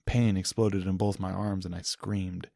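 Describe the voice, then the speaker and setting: A man's voice: low voice, flat delivery, unhurried, creaky, dark tone, dry recording, studio quality. A man narrating a first-person story as a dramatic audiobook reading.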